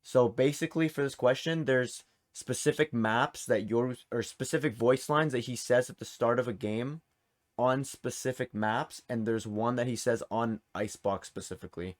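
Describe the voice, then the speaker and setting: A man's voice: mid-range voice, moderate pace. Casual man hosting a quiz. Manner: informal, reads questions and answer options aloud. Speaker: quiz host. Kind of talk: hosting a game trivia quiz in casual chat.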